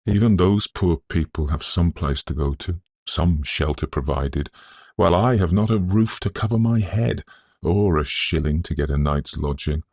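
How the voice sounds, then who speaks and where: A man voicing a despondent, well-spoken young gentleman full of self-pity in a storybook reading. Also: sits low, moderate pace, dry recording. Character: despairing young gentleman of twenty-one, self-pitying, startled.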